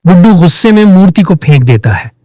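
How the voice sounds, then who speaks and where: Higher-pitched male story narrator. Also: very animated, fairly quick, warm tone, a little hiss or hum. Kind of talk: scripted children's story narration.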